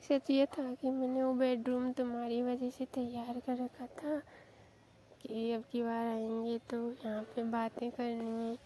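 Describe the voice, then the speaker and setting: A woman's voice: on the high side, monotone, measured pace, warm tone, lightly acted. A young woman playing an apologetic, affectionate newly married wife in a casual staged phone-call skit, explaining herself earnestly. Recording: noisy phone call recording.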